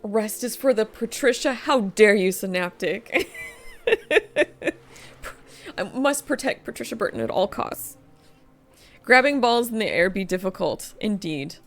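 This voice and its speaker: A woman's voice: medium pitch, lively intonation, a little slow, pauses often, faint music behind. A tired, slightly sniffly woman streaming a game, chatting casually, reading chat aloud and laughing often. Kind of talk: casual gaming livestream chat.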